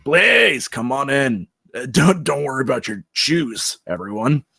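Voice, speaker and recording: A man's voice: medium pitch, big pitch swings, a little slow, creaky, bright, forceful tone, slightly rough, dry recording, studio quality, exaggerated voice. A man voicing a jokey, whiny, melodramatic Bigfoot in a comedy screenplay read. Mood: jokey, awkward. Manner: theatrical, wailing when upset.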